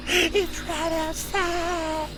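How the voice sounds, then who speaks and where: Woman acting a high-pitched terrified camper. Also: little pitch movement, extremely slow, very noisy, exaggerated voice. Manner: frightened, hushed. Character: terrified camper hiding from a woodland creature. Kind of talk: comic horror skit acting.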